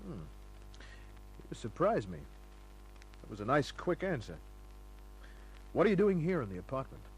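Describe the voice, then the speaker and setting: An actor playing a hard-boiled private detective, laconic, breezy and sardonic, in the manner of old-time radio drama. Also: male voice, fairly high pitch, big pitch swings, moderate pace, a little mellow, faint music behind. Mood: cool, wry. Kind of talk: old-time radio acting and first-person narration. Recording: noisy humming old radio recording.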